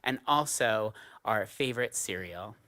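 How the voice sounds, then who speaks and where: A man's voice: fairly low pitch, lively intonation, a little slow, pushed and bright. A man from New York with a New York accent, an a cappella singer introducing a workshop in a casual way. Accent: New York American.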